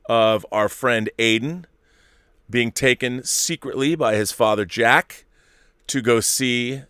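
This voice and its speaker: A man's voice: fairly low pitch, sharp tone, dry recording. Rambling opinionated middle-aged man, dry sound. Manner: rambling, self-interrupting, opinionated. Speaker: host reading viewer super chats. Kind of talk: casual live-stream commentary.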